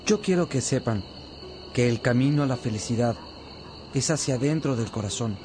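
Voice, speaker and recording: An actor playing a loving spiritual teacher who earnestly exhorts in a radio drama. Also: male voice, medium pitch, animated, moderate pace, background noise, close to an everyday voice. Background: music.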